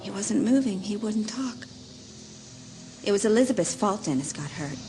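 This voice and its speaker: A woman's voice: medium pitch, animated, moderate pace, lightly acted. An actress playing an eerie, childlike, lovesick teenage girl who has turned murderous, rambling and pleading in an audiobook horror drama. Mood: eerie confessing.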